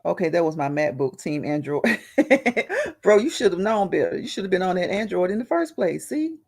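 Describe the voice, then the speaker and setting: Gravelly-voiced woman hosting a live chat. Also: lowish voice, sing-song, normal speed, a little mellow, slight background noise. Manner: laughs often, greets viewers by name. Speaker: livestream host reading chat.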